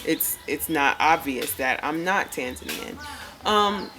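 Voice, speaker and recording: Low-voiced woman chatting frankly outdoors. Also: animated, slow, music in the background. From United States. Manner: chatty, frank, lots of fillers. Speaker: vlogger. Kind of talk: casual personal vlog.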